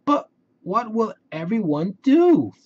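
A man's voice: sits high, big pitch swings, slow pace, dull audio, slight background noise, voice pushed up, full character voice. A man voicing a cheerful, friendly cat from a children's storybook in an upbeat way. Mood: excited. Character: cheerful, friendly cartoon cat who builds treehouses.

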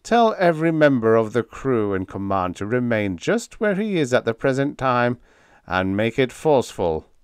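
Male narrator as mocking small secret agent for a space-adventure audiobook: pompous, pettish, very animated delivery. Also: medium pitch, normal speed, clean tone, no echo, clean studio sound, pitched above the performer's own voice, natural voice. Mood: smug, commanding. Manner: pompous, mock-polite, pettish.

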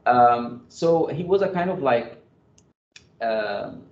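A man fielding questions after a research talk, pausing often and restarting his sentences. The sound is roomy and a bit dull, as if captured through a lecture hall setup. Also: mid-range voice, animated. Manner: hesitant, many fillers and restarts. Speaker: graduate student presenter. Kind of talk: answering audience questions. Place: lecture room. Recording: echoey, dull room sound.

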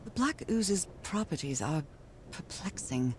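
A thoughtful woman explorer from a 1930s adventure game, speaks her searching thoughts aloud to herself. Noisy ambience sits clearly behind her voice. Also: fairly low pitch, expressive, unhurried. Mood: pensive. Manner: pensive, musing aloud to herself. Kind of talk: video game character monologue.

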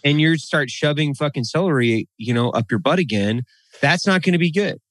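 A man co-hosting a podcast in casual, profane banter, blunt and animated, talking into a boom mic in a studio room. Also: a little fast, no echo. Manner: blunt, animated, swears freely. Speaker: podcast co-host. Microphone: studio mic on boom arm.

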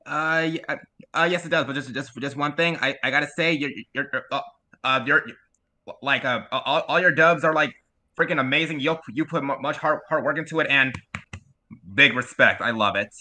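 A man speaking as a fan at a panel, casual and halting with repeated words. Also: a little high, bright, forceful tone. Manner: halting, repetitive.

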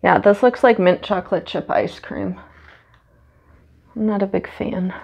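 A woman's voice: medium pitch, a little slow, vocal fry, a little mellow. A woman with a cold and a stuffy nose, chatting casually with lots of ums while she paints at home. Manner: chatty and rambling, many ums. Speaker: acrylic pour artist. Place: home studio, indoors.

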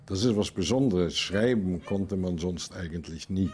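A man's voice: low voice, moderate pace, darkish tone, husky, soft background music. A man recounting memories as testimony, in short, simple phrases.

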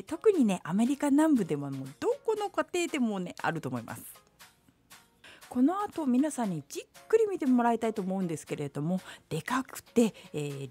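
A woman hosts her vlog in a calm, explanatory voice-over, polite and unhurried. Her tone is mellow and warm, and her pitch moves a lot. Quiet music plays softly beneath her. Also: breathy edge. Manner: polite, unhurried, with gentle asides. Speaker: vlog host.

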